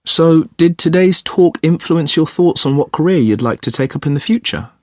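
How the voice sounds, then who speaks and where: A man's voice: fairly high pitch, expressive, normal speed, a little mellow, dry recording, clean studio sound, lightly acted. An actor playing an agreeable, realistic young male student in a scripted, conversational listening-test dialogue. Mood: questioning, matter-of-fact. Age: young adult. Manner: conversational, questioning.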